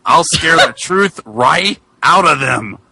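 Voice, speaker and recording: A man's voice: fairly high pitch, animated, slow pace, gravelly, bright, forceful tone, slightly rough, no echo, heavily acted. A man voicing a dim, good-natured ghost of a wrestler, blustery and eager, in a comic reading. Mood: eager bluster. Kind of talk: dramatic comic reading of fan fiction.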